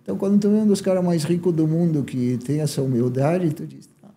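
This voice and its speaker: Animated Uruguayan man, dark voice. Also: on the high side, a little fast. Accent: Uruguayan, with a southern Brazilian lilt. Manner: animated storyteller, many fillers and tag questions. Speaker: guest, entrepreneur. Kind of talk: casual conversation.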